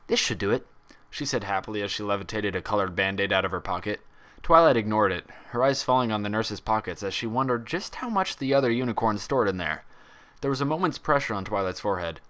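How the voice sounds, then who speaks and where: Male narrator, scripted story reading, lower pitch, forceful bright tone. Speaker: fan fiction reader and narrator.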